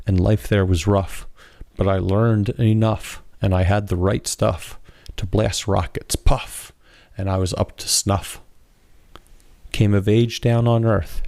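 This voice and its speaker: Low-voiced man reciting rhymed verse. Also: creaky, dark tone, dry recording. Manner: rhythmic, rhyme-driven delivery. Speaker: author and poet reading own work. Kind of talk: rhymed spoken-word verse reading.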